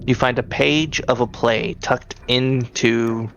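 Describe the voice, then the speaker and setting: Creaky-voiced man running a tabletop game. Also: medium pitch, steady tone, slow, fairly bright tone, highs cut off. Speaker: game master. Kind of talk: casual tabletop game narration.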